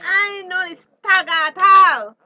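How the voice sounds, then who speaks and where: A woman's voice: extremely high pitch, slow, pushed and bright, lo-fi sound, full character voice. An actress playing a tipsy, flustered, defiant young mother in a screen drama, with a Belizean accent. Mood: defensive. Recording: clearly noisy location sound.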